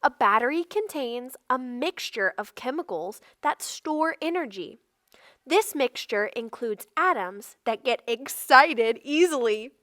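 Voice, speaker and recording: A woman reading a non-fiction children's book aloud in a measured, instructional way, phrase by phrase. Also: high voice, animated, fairly bright tone, no room sound, clean studio sound. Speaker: read-aloud narrator.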